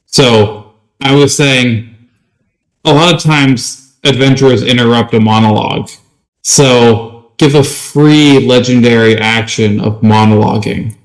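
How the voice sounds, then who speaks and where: A man's voice: mid-range voice, steady tone, measured pace, low-quality recording. A man co-hosting a podcast, chatting casually about tabletop gaming. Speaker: podcast co-host.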